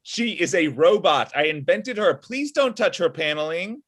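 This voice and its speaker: Exasperated inventor boss played by a man, expressive pitch swings, conversational sitcom script read on video call. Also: moderate pace, husky. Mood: alarmed. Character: exasperated male inventor, boss of his robot. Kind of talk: table read of comedy script. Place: indoor room on a video call.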